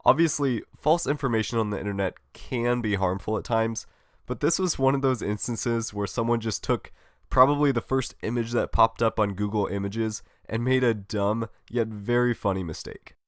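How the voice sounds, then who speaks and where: A man's voice: lowish voice, normal speed, no echo, clean studio sound. A young man hosting his own gaming podcast in a friendly, upbeat, scripted way while getting over a cold. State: recovering from a cold. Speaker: podcast host. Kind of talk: solo gaming podcast monologue.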